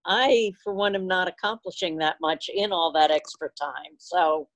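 A woman's voice: lowish voice, normal speed, a bit bright. A woman chatting casually with a group on a video call. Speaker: club member chatting in meeting.

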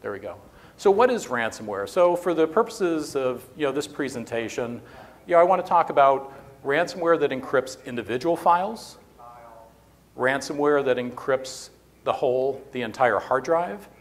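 A man's voice: mid-range voice, animated, normal speed. A man in his fifties giving a technical talk at a conference, speaking conversationally with many fillers, from beside a podium mic in a conference room. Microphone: podium mic, standing beside lectern.

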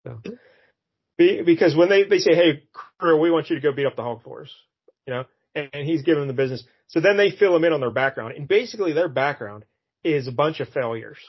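A man's voice: on the high side, expressive, quick pace, breathy edge, highs cut off. A man co-hosting a podcast, chatting casually about a comic book with lots of fillers and chuckles. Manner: rambling, many fillers, chuckles. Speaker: podcast co-host.

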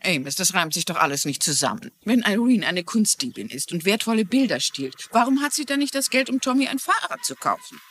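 A shrewd, kindly older lady detective in a crime drama. Her pitch moves in lively swings as she reasons aloud and probes with questions. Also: a little fast, few pauses, breathy edge. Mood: puzzled, reasoning. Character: shrewd, kindly older woman amateur sleuth and writer. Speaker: actress in a dubbed crime drama. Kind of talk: German-dubbed TV detective drama acting.

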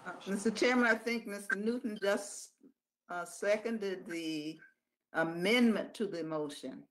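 A woman with a mid-pitched voice speaks as a council member. Her remarks are formal meeting talk, delivered over a video call. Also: measured pace, rough recording.

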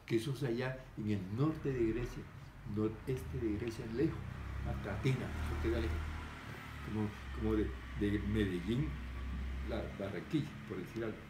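A man's voice: fairly low pitch, moderate pace. An older man, telling a story in casual conversation and animatedly acting out the people in it. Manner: animated, gestures a lot, voices the people in his story. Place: room with framed pictures, seated at a table indoors. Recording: echoey room with background noise.

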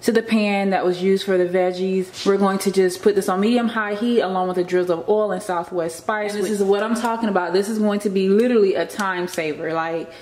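A woman's voice: mid-range voice, fairly even intonation, fairly quick, few pauses, darkish tone. A young woman vlogging in her home kitchen, reading recipe steps aloud and adding playful asides to viewers. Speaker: lifestyle vlogger. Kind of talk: casual cooking vlog, reading recipe steps. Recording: faint fan noise in a kitchen. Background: vent fan noise.

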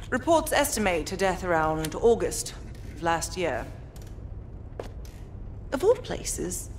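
A woman playing a composed, wry British intelligence agent in a video game, speaking in a measured, guarded, dry way. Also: fairly low pitch, a little hiss or hum. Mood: probing, suspicious. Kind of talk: video game cutscene acting.

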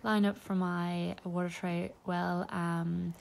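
A woman's voice: lowish voice, little pitch movement, takes it slowly, creaky, darkish tone. A young woman talking casually through a ride as a voice-over, with lots of ums and small laughs. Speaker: equestrian vlogger.

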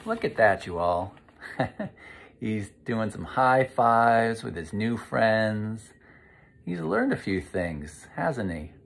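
A middle-aged American man reading aloud and gently guiding children, explaining things and putting questions to his listeners. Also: on the low side, expressive, takes it slowly, vocal fry. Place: small living room, indoors.

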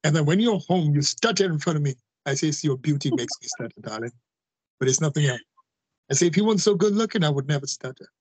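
A man's voice: on the high side, animated, quick pace, a little mellow, slightly rough, no echo, poor audio. A man in his forties, a guest speaking emphatically on a video call, repeating phrases and addressing listeners directly. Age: 40s. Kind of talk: emphatic interview talk.

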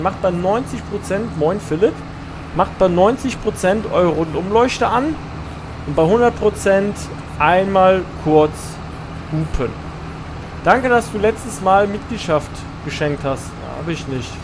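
Guy streaming a farm game, chatty, reads chat and replies, kinda high voice, noisy mic. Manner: chatty, answers chat messages while playing. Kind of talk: live gameplay stream, reading chat.

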